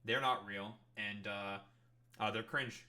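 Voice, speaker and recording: A man's voice: on the low side, steady tone, slow, sharp tone. A man chatting casually about politics as a stream co-host, blunt and sardonic, with plenty of swearing. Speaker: livestream co-host.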